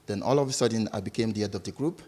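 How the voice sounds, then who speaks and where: A middle-aged man from Lagos with a Nigerian accent, a business founder chatting as an interview guest, hesitant and quick to laugh. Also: lowish voice, dark tone, slightly rough. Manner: laughs easily, hesitant with many ums. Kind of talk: casual interview chat.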